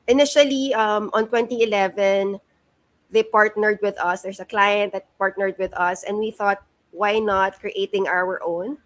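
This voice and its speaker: A woman in her thirties with a Filipino accent, giving a career presentation to students, halting often on "um" and mixing in Tagalog. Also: medium pitch, steady tone. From Philippines. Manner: halting, frequent "um" fillers, mixes in Tagalog. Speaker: guest speaker at a career talk. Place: not present in the room, speaking remotely.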